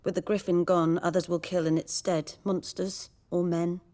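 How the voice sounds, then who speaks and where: Lady doing a cynical village herbalist from a fantasy game, lowish voice, dry and knowing, measured. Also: level tone, dark tone, lightly acted. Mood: wry, bitter. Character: world-weary, cynical village herbalist woman.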